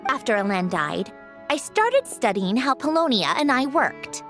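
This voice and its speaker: A woman's voice: fairly high pitch, very animated, measured pace, fairly bright tone, soft background music, heavily acted. A woman voicing a proud, sardonic female puppet inventor in a video game cutscene, explaining things in a dry, cool, slightly haughty way. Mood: subdued.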